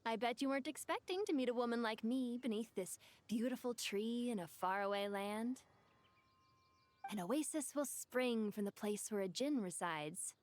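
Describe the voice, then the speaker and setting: A woman's voice: a little high, moderate pace, darkish tone, natural voice. A woman voicing an ancient, devoted djinn for a video game, speaking in a theatrical, eloquent and formal way. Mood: poised, wistful. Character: ancient djinn woman, grand, wistful, devoted to her lost mistress. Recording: music behind the voice.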